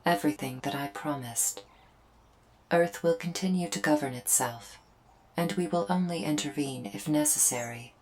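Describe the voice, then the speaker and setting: A woman's voice: low voice, moderate pace, darkish tone, faint music behind, lightly acted, rough recording. A woman playing a remorseful alien invader in an ASMR roleplay, speaking in formal, precise, stilted phrases. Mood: formal, assuring. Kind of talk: scripted ASMR roleplay to the listener.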